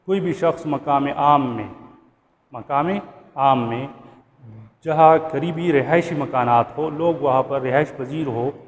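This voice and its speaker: A man with a Pakistani accent giving an explanatory law lecture, didactic, repeating points and checking that listeners follow. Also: medium pitch. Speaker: law instructor. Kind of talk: explanatory lecture going through a statute clause by clause. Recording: reverberant room with background noise.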